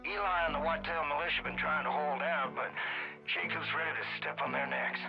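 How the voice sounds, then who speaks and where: An actor playing a gruff older American survivalist guide, giving a plain-spoken, folksy mission briefing over the radio in a video game. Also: male voice, fairly high pitch, fairly even intonation, a little fast, dull audio, music in the background. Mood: matter-of-fact, grim.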